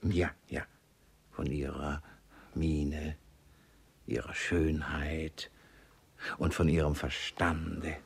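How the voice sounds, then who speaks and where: A man's voice: extremely low pitch, flat delivery, very slow, creaky, pauses often, husky, exaggerated voice. An actor playing a cunning, suspicious old miser and father in a classic comedy radio play, probing and repeating little words. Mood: sly, probing.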